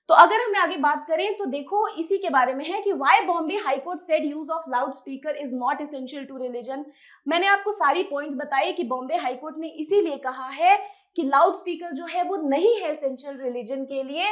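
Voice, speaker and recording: A woman's voice: high-pitched, a bit bright. An Indian woman giving an explanatory lecture in an emphatic, conversational way, posing questions and answering them herself. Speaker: teacher, exam coaching presenter. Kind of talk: explanatory lecture mixing Hindi and English.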